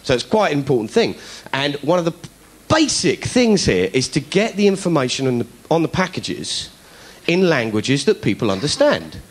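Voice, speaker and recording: A middle-aged comedian telling a story to a studio audience, animated and putting on voices for the people he quotes. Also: fairly high pitch, normal speed, breathy edge. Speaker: comedian host.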